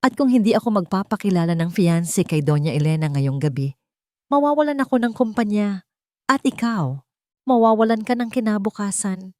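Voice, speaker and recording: A woman's voice: mid-range voice, lively intonation, moderate pace, darkish tone, no room sound, pitched above the performer's own voice, close to an everyday voice. A woman narrator plays a cold billionaire man in a romance audio story. He is curt, businesslike and commanding, with desperation underneath, in a dry recording. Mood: urgent, commanding.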